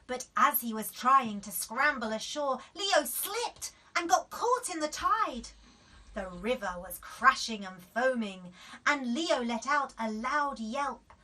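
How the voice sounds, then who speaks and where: A woman storyteller giving an expressive reading of a children's bedtime story. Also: sits high, slow, recorded from a distance, poor audio.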